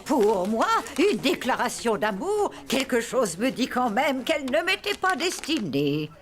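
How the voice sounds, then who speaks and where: An actress voicing a prim, stern woman schoolteacher in a cartoon, with a deliberate, theatrical delivery. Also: on the high side, very animated. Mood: mocking. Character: stern, prim woman schoolteacher who dotes on her cat. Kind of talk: animated cartoon dialogue. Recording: loud music behind the voice.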